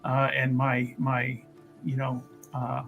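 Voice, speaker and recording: Casting: grandiose would-be seducer and rescuer played at a gaming table, medium pitch, stumbling yet flowery, music underneath. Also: completely flat delivery, gravelly, lo-fi sound. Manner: halting, grandiose, flowery wording. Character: flirtatious, self-important would-be rescuer in a fantasy game. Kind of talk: improvised in-character tabletop roleplay.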